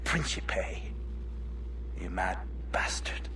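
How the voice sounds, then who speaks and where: A man's voice: deep voice, animated, drawn-out words, sharp tone, whispered, far from the mic, heavily acted. A man playing a haughty, self-righteous villain in a video game, pompous and full of disdain. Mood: bitter, strained. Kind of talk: video game cutscene acting.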